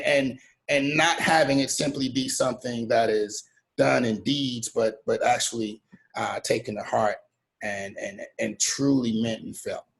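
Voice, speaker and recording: A man speaking as a host, introducing a talk in a reflective, halting way. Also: mid-range voice, creaky, a bit bright, rough recording.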